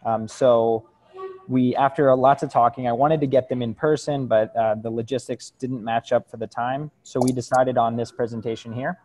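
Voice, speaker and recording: A man introducing a call as director of communications, speaking hesitantly with frequent pauses. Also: medium pitch, fairly even intonation, mellow, soft background music. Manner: hesitant, many ums. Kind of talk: meeting introduction. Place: video call.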